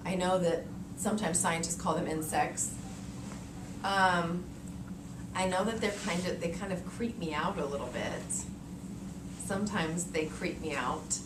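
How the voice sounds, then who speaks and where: A woman's voice: fairly low pitch, unhurried, long pauses. A woman in her thirties with an American accent, teaching young children in a read-aloud lesson, thinking aloud and checking in with short questions. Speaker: elementary school teacher. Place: elementary classroom, indoors. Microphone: camera a few meters away. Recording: roomy, distant mic with clear background noise.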